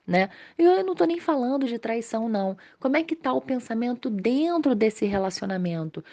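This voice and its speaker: A Brazilian woman with a slightly hoarse voice from a mild cold, giving an informal, explanatory religious study talk to viewers. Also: medium pitch, warm tone. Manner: explanatory, conversational, checking in with tag questions. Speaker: host of a spiritist study channel.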